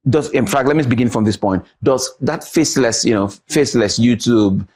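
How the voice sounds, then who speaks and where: A man's voice: medium pitch, normal speed, a little mellow, husky. A man hosting a studio interview, asking long conversational questions with restarts. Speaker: TV interviewer host.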